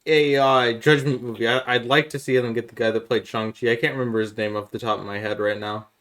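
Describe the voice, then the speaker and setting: A man's voice: mid-range voice, steady tone, quick pace, fairly bright tone. Man explaining his point on a podcast. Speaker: podcast co-host. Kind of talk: casual podcast chat.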